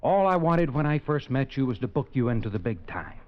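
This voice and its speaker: A man's voice: medium pitch, sing-song, natural voice. An actor playing a brash talent agent who narrates his own story and pushes insistently, in 1940s radio acting. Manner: brisk, pushy, insistent. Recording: dull old radio recording with faint music.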